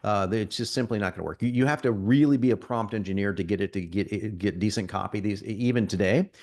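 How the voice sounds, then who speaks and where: A man getting over a cold, presenting a live marketing software demo to an audience in a conversational, salesy way, often restarting his sentences. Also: fairly low pitch, a little fast.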